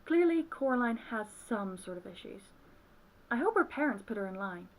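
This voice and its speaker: A woman's voice: a little high, very animated, moderate pace, rough recording. A woman giving a scripted film review commentary. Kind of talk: scripted review commentary with acted intro skit.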